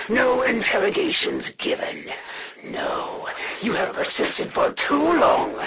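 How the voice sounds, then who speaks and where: Casting: proud alien warrior villainess for a video game; slow, measured, imperious delivery, gravelly edge, cold menace. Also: female voice, lowish voice, fairly bright tone, a little hiss or hum, heavily acted. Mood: stern warning. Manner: formal, imperious, menacing, deliberate pauses.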